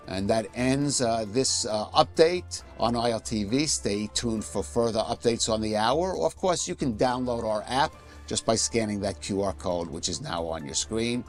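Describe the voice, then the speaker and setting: A man reading a news update as anchor, in steady newsreader delivery. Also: mid-range voice.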